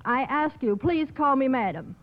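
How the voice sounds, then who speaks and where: A woman panelist on a radio quiz, speaking formally and haltingly as if making a political speech. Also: high voice, animated. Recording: tinny old radio broadcast.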